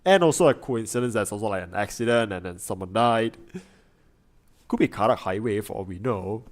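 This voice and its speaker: A man chatting casually as he reacts on stream. His pitch swings widely as he thinks aloud, full of fillers and restarts. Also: animated, brisk. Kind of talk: casual chat.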